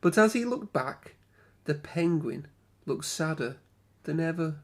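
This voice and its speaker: A man reading a children's picture book aloud as an expressive storyteller, with dramatic phrasing and drawn-out words. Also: a little high.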